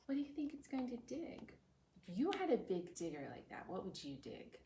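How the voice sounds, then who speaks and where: A woman's voice: a little high, sing-song, normal speed, dark tone. A woman hosting a preschool storytime, reading aloud and chatting expressively, with lots of questions for her young listeners. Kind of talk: children's read-aloud with chatty explanations. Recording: echoey room with background noise.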